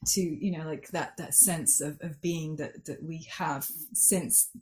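A woman with a fairly low voice answers interview questions over a video call. She reflects as she speaks, restarting phrases and filling pauses with "um." She grew up in Switzerland and now works as a coach. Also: steady tone, darkish tone. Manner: thoughtful, many restarts and "um"s. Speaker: life coach and part-time lawyer.

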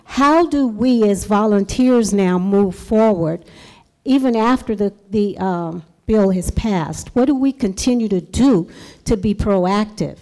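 A woman volunteer in the audience asking an earnest, slightly halting question into a handheld microphone passed to her. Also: mid-range voice, warm tone.

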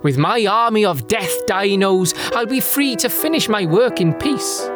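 A woman's voice: mid-range voice, lively intonation, unhurried, over loud music, lightly acted. A woman voicing a villainous mad scientist in a sci-fi audio drama, smug, grandiose and mock-courteous. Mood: grandiose ranting.

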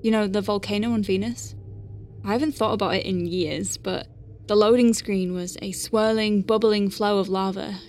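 A woman's voice: mid-range voice, fairly even intonation, normal speed, darkish tone, a little hiss or hum, lightly acted. A woman playing a dry-witted, capable doctor on a space station, dictating clinical notes with wry conversational asides in an audio drama. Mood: reflective. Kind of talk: science-fiction audio drama, spoken log.

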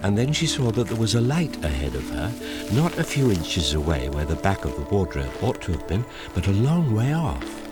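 An actor playing a gentle, measured storybook narrator in a scripted audio drama. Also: male voice, deep voice, very animated. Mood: hushed suspense. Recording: music under narration.

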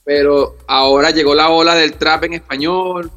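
A man's voice: fairly high pitch, steady tone, normal speed, pushed and bright, lo-fi sound. Earnest Venezuelan-born man, faint music. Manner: earnest, repeats words, asks "¿entiendes?". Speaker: reggae artist. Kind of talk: relaxed interview answers.

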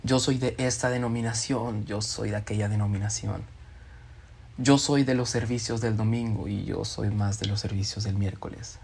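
A man's voice: lowish voice, normal speed, vocal fry, a little hiss or hum. Man preaching a sermon. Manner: expressive, acts out quoted voices.

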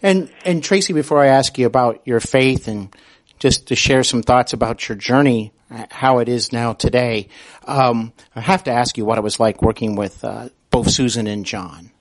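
A man's voice: mid-range voice, moderate pace. A man speaking as a podcast interviewer, building wordy, slightly hesitant questions in a conversational style.

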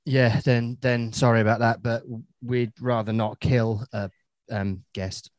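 A man's voice: mid-range voice, flat delivery, a little slow, no room sound. An English man hosting a podcast, casual and crude, swearing often in banter. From England. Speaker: podcast host.

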